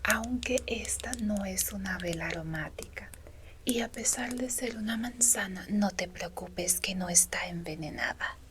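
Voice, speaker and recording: A tender female woodland creature, acted by a woman, whispers slowly and breathily in an ASMR roleplay. She is soothing and reassuring toward her human listener, with faint noise behind the close whisper. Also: mid-range voice, natural voice. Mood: softly showing gifts. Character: gentle female woodland fauna being comforting a human.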